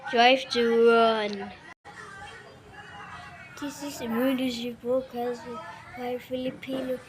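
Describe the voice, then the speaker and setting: A woman's voice: on the high side, flat delivery, slow pace, husky, rough recording. A woman reading a scripted emergency broadcast alert in short, stilted, repetitive phrases.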